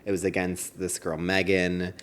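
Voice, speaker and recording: Low-pitched man, chatty podcast co-host. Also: completely flat delivery, normal speed. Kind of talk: casual comic chat.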